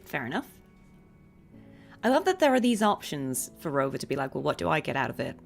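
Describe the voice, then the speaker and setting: A woman's voice: deep voice, sing-song, a little fast, creaky. A woman chatting casually and informally to viewers while livestreaming a game. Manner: chatty, informal, chuckles easily. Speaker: voice actress livestreaming gameplay. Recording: faint game music behind voice. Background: faint game music.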